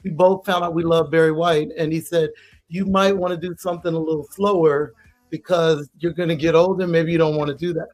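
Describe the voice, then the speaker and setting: A woman's voice: low voice, steady tone, fairly quick, darkish tone. A woman singer, a guest in an interview, talking chattily about her career and laughing easily. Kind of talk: casual interview talk.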